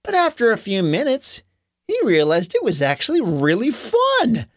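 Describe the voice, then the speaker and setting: A woman narrating a children's story in an expressive, conversational, casual way. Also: a little high, moderate pace, dry recording, clean studio sound.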